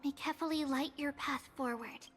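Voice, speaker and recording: An actress voicing a small, childlike priestess guide in a video game, earnest, caring and clearly articulated. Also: female voice, high voice, steady tone, normal speed, a bit bright, faint music behind. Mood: caring, instructive. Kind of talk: scripted video game acting.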